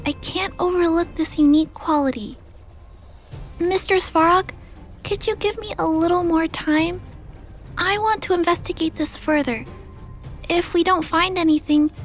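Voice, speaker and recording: A high, earnest little girl from a video game. She is gentle, polite and careful in how she talks, with faint music underneath. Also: moderate pace. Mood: earnest, thoughtful. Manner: polite, soft-hearted, careful.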